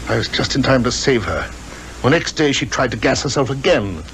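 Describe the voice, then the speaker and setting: A troubled British gentleman in a 1950s radio drama. He is middle-aged, polite and a little hesitant. The old recording has a faint layer of noise. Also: mid-range voice, expressive, brisk, poor audio. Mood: troubled, earnest. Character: anxious upper-class English father, polite and troubled.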